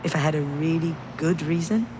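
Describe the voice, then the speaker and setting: Grieving mother, played by a woman for narrative game: low register, intimate halting confession, guilt-laden, long reverb tail. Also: very noisy, natural voice. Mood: guilty, pleading. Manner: halting, confessional, addressing someone directly. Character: grieving, guilt-ridden mother confiding in her lost child. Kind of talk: dramatic video game voice acting, intimate monologue.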